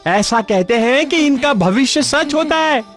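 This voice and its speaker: A man's voice: very high-pitched, animated, unhurried, a bit bright, exaggerated voice. A man voicing a polite, eager village cowherd in a cartoon mythological drama, deferential and obliging. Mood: eager, respectful. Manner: deferential, introducing people with flourish. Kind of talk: animated mythological drama dub. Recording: background music under dialogue.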